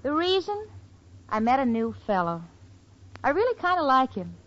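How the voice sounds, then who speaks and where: An actress playing a sensible, wry young single woman, confiding and animated, in a 1940s radio sitcom. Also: high voice, normal speed. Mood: confiding, eager. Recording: dull old radio recording, studio audience.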